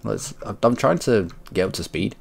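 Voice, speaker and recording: A man with a head cold streaming a strategy game, rambling and correcting himself, often clearing his throat. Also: on the low side, expressive, normal speed, a little hiss or hum. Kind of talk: casual game commentary.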